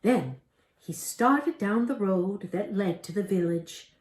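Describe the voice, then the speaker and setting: A woman's voice: medium pitch, slow, darkish tone. An older woman reading a story aloud expressively, as a storyteller in a room at home. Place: indoor room at home, by lit candles. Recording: roomy echo.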